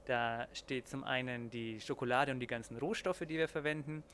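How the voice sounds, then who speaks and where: Calm young man explaining chocolate making. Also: mid-range voice, normal speed, slight background noise. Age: 20s. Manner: calm, steady explaining with occasional fillers. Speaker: master confectioner and chocolatier. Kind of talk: guest explaining his workshop. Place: small chocolate workshop.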